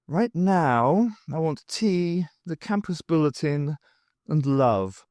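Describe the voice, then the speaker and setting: A man's voice: a little high, animated, slow, darkish tone, no echo. A man voicing a bleary, sardonic female college rector in an audiobook, with a weary, informal manner. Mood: bleary, grumpy. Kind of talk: audiobook character voice.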